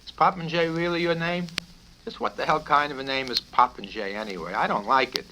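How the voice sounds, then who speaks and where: A man reading a satirical novel, voicing a bullying, foul-mouthed military colonel who blusters and shouts his questions. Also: on the high side, brisk, voice pushed up. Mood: menacing. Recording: noisy 1970s vinyl record with clicks.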